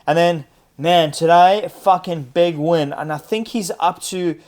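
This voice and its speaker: A man co-hosting a podcast in casual banter, excitable and swearing constantly. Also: on the high side, measured pace. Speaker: podcast co-host.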